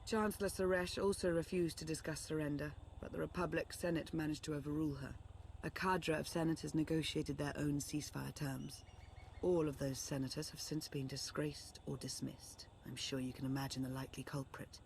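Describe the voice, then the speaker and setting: Low voiced sith advisor woman, composed n formal, video game dialogue, bit of background noise. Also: fairly even intonation, vocal fry, a little mellow. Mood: grave, composed. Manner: measured, formal, articulate. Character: composed, earnest female Sith strategist and loyal ally. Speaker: advisor and ally. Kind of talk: scripted game dialogue, briefing.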